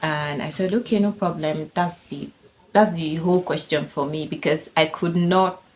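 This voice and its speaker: A woman's voice: low-pitched, steady tone, moderate pace. A woman in her thirties with a Nigerian accent, a Yoruba speaker, telling a personal story in a chatty, casual way with lots of "like" and bursts of laughter. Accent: Nigerian English. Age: 30s. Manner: chatty and conversational, lots of "like", laughing while she tells it.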